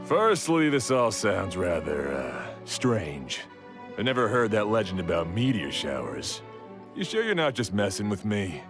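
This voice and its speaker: An actor playing a skeptical, matter-of-fact blacksmith in a video game, heard over game music. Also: male voice, lowish voice, sing-song, unhurried. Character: male blacksmith in a game, proud of his craft. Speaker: game character. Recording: game audio with background music.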